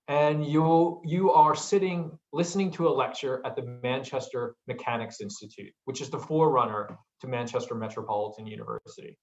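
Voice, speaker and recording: A man with an American accent presenting an online business workshop, pausing often with ums and asking the audience questions. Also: a little high, lo-fi sound. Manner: hesitant with frequent ums, asks the audience questions. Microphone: video call.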